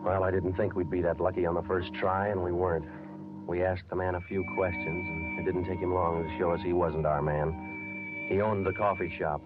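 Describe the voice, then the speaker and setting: A man's voice: sits low, normal speed, close to an everyday voice. An American man playing a terse, dogged police detective who narrates his case in a clipped 1950s radio drama style. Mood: matter-of-fact. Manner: deadpan, clipped, matter-of-fact. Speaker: actor and narrator. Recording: tinny old radio broadcast, music behind.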